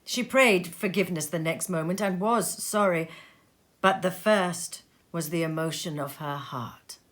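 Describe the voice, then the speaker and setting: Slow-paced woman reading a story expressively. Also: fairly low pitch, a bit bright. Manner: measured, dramatic pauses. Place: sitting room.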